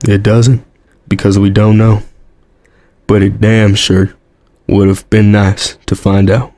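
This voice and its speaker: An actor playing a weary, guilt-ridden American president in an audio drama, grave and resolute, with an American accent. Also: male voice, on the low side, level tone, takes it slowly, mellow. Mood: regretful, bitter.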